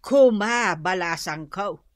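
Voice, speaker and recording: Patient ilocano mom, slow talker, highish voice, dry close sound, giving advice, radio drama. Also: female voice, expressive, no echo. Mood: resigned advice. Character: patient, wise Ilocano mother-in-law or older mother.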